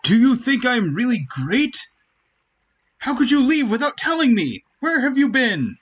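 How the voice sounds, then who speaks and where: A man's voice: very high-pitched, big pitch swings, unhurried, fairly bright tone, voice pushed up, heavily acted. A man voicing an earnest, pleading young male forest dweller from a fantasy game, on dull stream audio. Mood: surprised, hurt. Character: Guaraha, earnest young male Wingly, emotional. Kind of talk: dramatic read-aloud of game dialogue.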